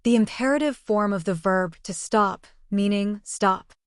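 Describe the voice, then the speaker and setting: A woman teaching a language lesson, explaining phrases in an even, scripted way. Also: medium pitch, a little slow, clear, resonant tone, dry recording, studio quality.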